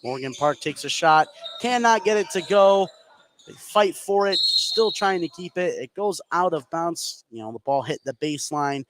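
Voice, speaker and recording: An American man doing live play-by-play basketball commentary for radio, excitable, narrating the action in short running phrases. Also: a little high, moderate pace. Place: indoor gym at a basketball game. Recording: noisy live sports broadcast.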